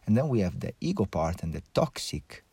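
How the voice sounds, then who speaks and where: A man with a low voice and an Italian accent speaks alone as a podcast host. He shares personal reflections in halting phrases, often restarting as he goes. The sound is dry, with very little room echo. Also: sing-song, dark tone, husky. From Italy.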